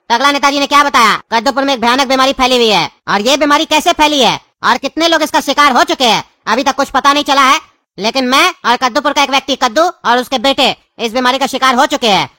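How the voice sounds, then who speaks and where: A performer voicing an earnest, wordy, excitable cartoon character in the manner of a comic news report. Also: sits high, no echo.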